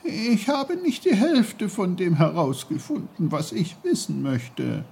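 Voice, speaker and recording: Man voicing an eager, high-pitched little storybook boy. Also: lively intonation, slow pace, mellow, slight background noise. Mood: upset. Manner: eager, questioning, exclaiming. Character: curious, wide-eyed little boy, eager and tender-hearted. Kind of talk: German children's storybook character voice.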